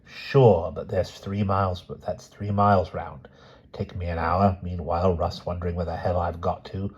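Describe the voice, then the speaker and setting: A man's voice: low-pitched, little pitch movement, moderate pace, a little mellow, husky, lo-fi sound. A man voicing a flustered, panicky middle-aged crook who mutters to himself.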